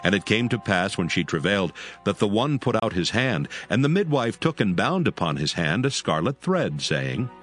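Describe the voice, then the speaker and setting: A man narrates a dramatized scripture story in a low voice. His delivery is animated and varied in pitch, with soft music behind him. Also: moderate pace, few pauses.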